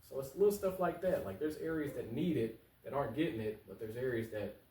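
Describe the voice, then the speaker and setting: Fast-talking American man in a roomy, distant recording. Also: mid-range voice, warm tone. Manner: earnest, informal, repeats phrases for emphasis. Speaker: political candidate, talk show guest. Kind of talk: conversational interview answers. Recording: roomy echo, distant mic, faint noise.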